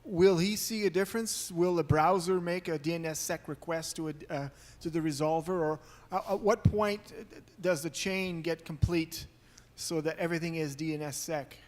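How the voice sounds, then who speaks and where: Male audience questioner, fairly high voice, halting delivery, reverberant hall. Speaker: audience member asking a question. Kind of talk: unscripted conference question.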